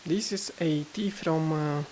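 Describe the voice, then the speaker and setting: Slow, low-voiced woman testing microphones. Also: mellow, slight background noise, rough recording. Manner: hesitant, with many fillers and self-corrections; reads aloud haltingly from a book. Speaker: gear reviewer testing microphones. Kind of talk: casual mic test vlog. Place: indoor room. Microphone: various test microphones, condenser among them.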